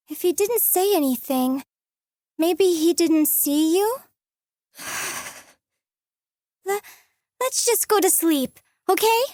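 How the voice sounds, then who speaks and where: A woman's voice: extremely high pitch, darkish tone, no room sound, clean studio sound, exaggerated voice. A woman voicing a timid, proper young servant girl in a video game, hesitant and pleading. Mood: uneasy, gentle.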